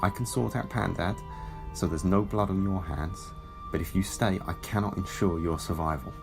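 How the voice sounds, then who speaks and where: A stern, protective Time Lord who once soldiered , acted by a man. He speaks in a lowish, gravelly voice, pressing his point formally and insistently, with music clearly behind him. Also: normal speed. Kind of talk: audio drama acting.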